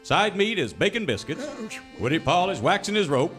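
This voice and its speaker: Grandiose cowboy-serial announcer over music. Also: male voice, high voice, sing-song, moderate pace, a bit bright. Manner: grandiose, dramatic. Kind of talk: scripted mock-melodramatic radio narration. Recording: music behind the narration.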